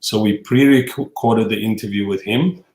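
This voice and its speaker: A male podcast host introducing a film and then questioning his guest, with a halting delivery full of fillers. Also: fairly low pitch, a little mellow, reverberant.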